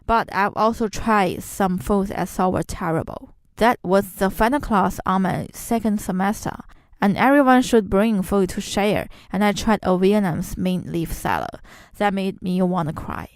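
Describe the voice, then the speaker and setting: A woman's voice: mid-range voice, expressive, normal speed, no echo. A young woman from China, a native Chinese speaker, hosting a podcast and reading her scripted narration in earnest. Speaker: podcast host.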